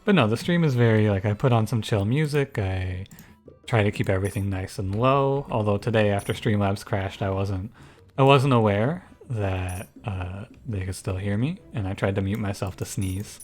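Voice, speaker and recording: A man with a soft, soothing voice giving relaxed, thinking-aloud commentary over a strategy game. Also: normal speed, faint music behind. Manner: low-key, musing, thinks aloud with frequent restarts. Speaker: gaming YouTuber and streamer. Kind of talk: casual gameplay commentary.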